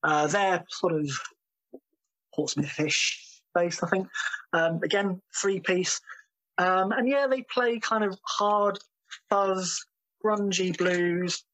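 A man, a drummer being interviewed, talking casually with many ums and pauses. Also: high-pitched, fairly bright tone, poor audio. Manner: hesitant, full of ums, laughs easily.

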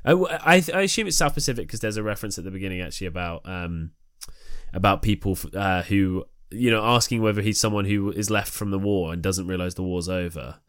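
Smooth, lowish male voice in thoughtful chat. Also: quick pace, a bit bright, no echo, clean studio sound. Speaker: podcast co-host. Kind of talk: casual analytical chat.

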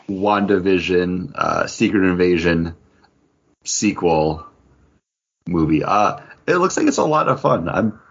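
A man co-hosting a podcast, talking casually about entertainment news. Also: low-pitched. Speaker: podcast co-host. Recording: dull, lo-fi podcast audio.

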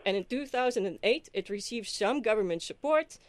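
A woman's voice: mid-range voice, lively intonation, a little fast, no echo, lightly acted. A woman voicing a comical middle-aged Dutch man with a Dutch accent, explaining figures step by step on a podcast.

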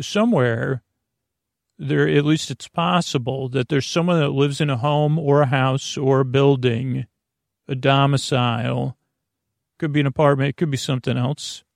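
A man with a creaky voice hosting a bedtime podcast, soothing and whimsical, meandering and halting as he interrupts himself. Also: medium pitch, no room sound, clean studio sound. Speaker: podcast host. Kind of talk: rambling bedtime monologue.

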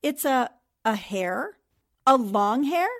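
High-voiced, sharp newspaperwoman. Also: big pitch swings, slow, exaggerated voice. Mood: surprised, questioning. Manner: probing, precise, questioning. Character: sharp, observant young female newspaper reporter, inquisitive. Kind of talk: 1940s radio drama acting.

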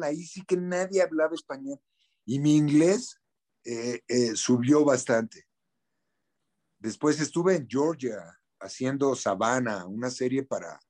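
A man's voice: mid-range voice, a little mellow. A middle-aged Mexican actor in a casual interview, speaking candidly with slang and repeating words as he searches for what to say.

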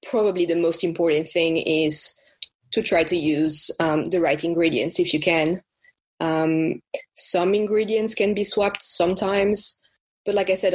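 A woman with a French accent, a baking blogger chatting as a podcast guest, pausing often with fillers. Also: on the low side, breathy edge. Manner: relaxed, frequent "um" fillers. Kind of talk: casual podcast interview.

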